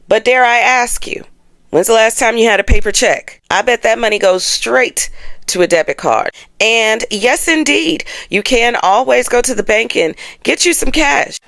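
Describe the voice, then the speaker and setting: A woman's voice: fairly high pitch, fairly bright tone, no echo. A woman hosting an astrology show, chatting casually to her audience in a sassy, playful, slangy way. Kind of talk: casual chatty explainer to camera.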